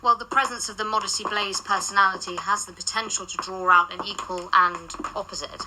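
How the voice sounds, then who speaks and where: A woman's voice: medium pitch, fairly even intonation, fairly quick, natural voice. An actress playing a chatty, bossy female occult secret agent in a radio drama, talking in an earnest, explanatory way. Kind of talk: modern radio drama acting. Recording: very noisy background.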